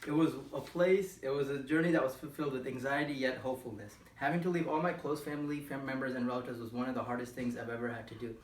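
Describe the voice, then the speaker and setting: A man's voice: medium pitch, fairly even intonation, quick pace, few pauses. A young man from Nepal whose first language is Nepali, earnestly reading a formal personal speech with occasional slips and restarts. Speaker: student speaker. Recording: echoey room, voice from far off, faint noise.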